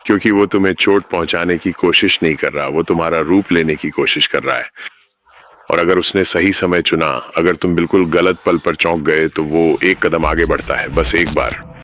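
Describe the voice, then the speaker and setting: A man's voice: sits low, fairly even intonation, normal speed, a bit bright. A man narrating a scripted horror story, speaking ominously and addressing the listener directly.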